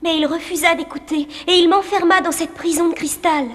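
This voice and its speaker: A woman voicing a gentle, sorrowful young spirit princess in a fairy-tale cartoon, wistful and melodramatic. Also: extremely high pitch, steady tone, brisk.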